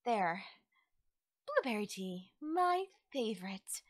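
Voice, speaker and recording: A woman acts out a playful young forest witch for an ASMR roleplay. Her pitch swings expressively as she teases, coaxes and reassures the listener. The sound is close and dry. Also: very animated, dry recording. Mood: coaxing, reassuring. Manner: coy, soothing, conversational with drawn-out words. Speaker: roleplay voice actor.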